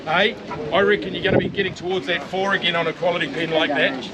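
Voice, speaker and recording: A man with a New Zealand accent working as a livestock auctioneer, rattling off bids in a rapid, repetitive chant and coaxing buyers at an outdoor saleyard. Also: sits high, steady tone, rough recording. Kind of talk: auction bid calling. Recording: loud crowd and livestock noise at an outdoor sale.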